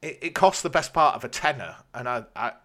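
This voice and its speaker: A man's voice: mid-range voice, lively intonation, brisk, a bit bright. A British man co-hosting a podcast, chatting in an informal, jokey way. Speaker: podcast co-host. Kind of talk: casual chat.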